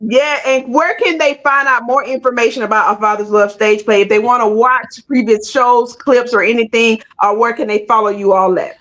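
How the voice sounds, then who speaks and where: A woman's voice: a little high, fairly even intonation, moderate pace, few pauses, fairly bright tone, lo-fi sound. A woman hosting a casual livestream interview, talking with folksy, sassy banter. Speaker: show host interviewing guests.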